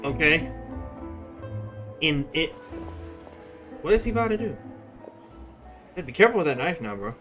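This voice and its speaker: Young male streamer: casual, foul-mouthed commentary, lively intonation, slightly high pitch. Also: moderate pace, long pauses, faint music behind. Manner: profane, slangy. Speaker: streamer reacting to a game.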